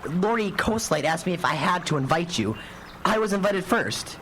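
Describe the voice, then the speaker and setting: A woman's voice: fairly low pitch, lively intonation, normal speed, fairly bright tone. A woman playing a bossy, irritable older teenage twin in a live radio play. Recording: live radio broadcast with background noise.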